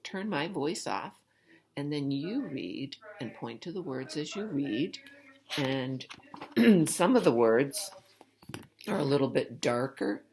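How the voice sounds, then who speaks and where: A woman's voice: rumbling low, darkish tone. A woman teacher reading a storybook aloud to young children, in an instructive way with questions for the listener.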